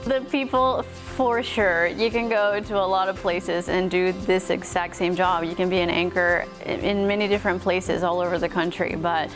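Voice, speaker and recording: A woman's voice: lowish voice, steady tone, moderate pace, few pauses. Upbeat woman anchor over music. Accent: Texas. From Mexico. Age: 30s. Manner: upbeat, earnest, grateful. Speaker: morning news anchor. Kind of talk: personal interview talk. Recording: music playing underneath.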